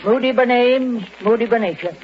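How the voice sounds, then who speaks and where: An actor playing a gloomy old New England farmer with a laconic, deadpan rural drawl in a 1940s radio comedy. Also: male voice, extremely high pitch, normal speed. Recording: dull noisy vintage radio broadcast.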